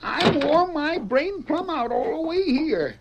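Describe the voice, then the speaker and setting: A man playing an excitable, bumbling cowboy with a rustic Western American accent, stammering and folksy, in old radio western style. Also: extremely high pitch, unhurried, dull audio, very noisy, full character voice. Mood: sheepish worry. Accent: rustic American West. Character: excitable, bumbling cowboy blabbermouth named Missouri.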